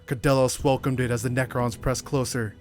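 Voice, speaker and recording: A man narrating a science fiction war story, reading dramatically in a measured, solemn storytelling manner. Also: mid-range voice, flat delivery, a little mellow, soft background music. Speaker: audiobook narrator.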